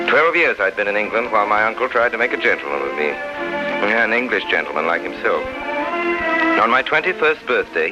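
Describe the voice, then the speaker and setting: Casting: nostalgic gentleman storyteller, deep and measured, muffled vintage 1930s radio broadcast. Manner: slow, lyrical, measured. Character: wistful grown gentleman recalling his lost childhood. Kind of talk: 1930s radio drama narration. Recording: muffled 1930s radio, loud music behind. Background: loud music.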